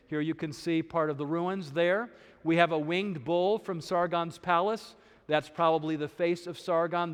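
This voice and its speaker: A man presenting a lecture in a steady, explanatory way. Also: on the high side, clear, resonant tone, in a large hall. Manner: explanatory, steady, occasional uh fillers. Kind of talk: lecture on archaeology.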